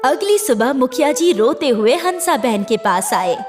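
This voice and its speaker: A woman's voice: sits high, sing-song, measured pace, over music. A woman narrating a scripted moral story for a children's cartoon.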